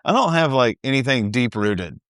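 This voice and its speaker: Smooth-voiced man, wry and easygoing. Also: mid-range voice, animated, normal speed, a bit bright, no echo, studio quality. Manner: easygoing, wry, laughs often. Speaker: podcast co-host. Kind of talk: casual recap chat.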